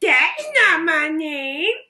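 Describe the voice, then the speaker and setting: A woman voicing a gleeful, menacing male goblin from a storybook, with a taunting, cackling, drawn-out delivery. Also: sits high, lively intonation, sharp tone, voice pushed up, heavily acted. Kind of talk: storybook read-aloud character voice.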